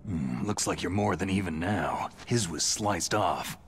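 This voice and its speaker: Deep, gravelly, terse action soldier. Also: male voice, animated, measured pace, fairly bright tone, full character voice. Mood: dry, cool. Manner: terse, stern, questioning. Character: tough, terse male soldier on a rescue mission. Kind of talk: dramatic video game voice acting.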